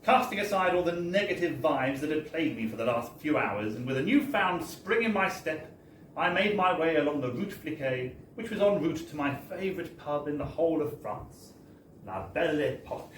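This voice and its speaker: A man's voice: on the high side, lively intonation, moderate pace. Wry man reading aloud, echoey live room. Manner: wry, mock-formal, theatrical delivery. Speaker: reader of a humorous book. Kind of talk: comic story reading to a live audience. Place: bar, indoors, at a live book launch. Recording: echoey live bar recording, distant, faint noise.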